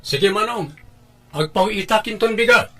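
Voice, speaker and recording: A man's voice: high-pitched, unhurried, bright, forceful tone, faint music behind, heavily acted. An actor playing a meek, hesitant, obliging younger man in an Ilocano radio drama.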